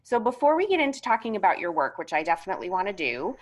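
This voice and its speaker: A woman's voice: mid-range voice, big pitch swings, fast talker. A woman hosting a podcast, asking questions in an effusive, enthusiastic way. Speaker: podcast host. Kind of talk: casual interview talk.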